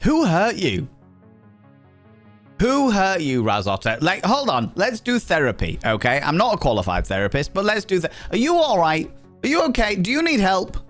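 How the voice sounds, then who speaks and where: A man's voice: high-pitched, wildly swooping pitch, normal speed, a bit bright, faint music behind. A man with a Yorkshire accent, chatting casually with his live-stream audience, cheeky and teasing, swearing freely. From Yorkshire, England.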